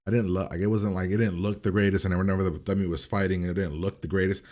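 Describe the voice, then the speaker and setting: A man's voice: low-pitched, level tone, quick pace, a little mellow. A man hosting a reaction video, giving a casual, informal review and rambling as he repeats and revises his points.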